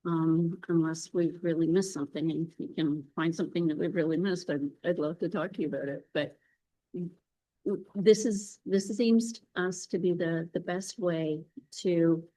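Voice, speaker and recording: Low-voiced woman pleading with council. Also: moderate pace, dark tone, poor audio. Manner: earnest, pleading. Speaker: delegate addressing council. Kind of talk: public meeting presentation.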